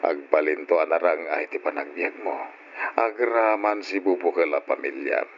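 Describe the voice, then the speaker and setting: An actor playing a domineering, wealthy older suitor with an Ilocano accent, in old radio drama style. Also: male voice, sits low, moderate pace, slight background noise, lightly acted. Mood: insinuating, insistent. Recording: dull lo-fi radio drama recording.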